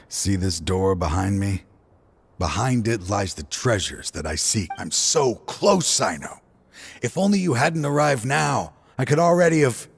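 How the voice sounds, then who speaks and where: Low, husky, world-weary older game villain. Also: male voice, expressive, exaggerated voice. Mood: fervent hope. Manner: slow, brooding, sighing delivery. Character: weary, regretful older male villain, former partner turned selfish. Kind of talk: dramatic video game voice acting.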